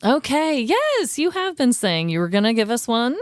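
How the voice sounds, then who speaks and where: Female podcast co-host, very lively pitch movement, dry recording. Casual, expressive, encouraging listener. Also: normal speed, no room sound. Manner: supportive, expressive. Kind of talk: casual chat, reacting and listening.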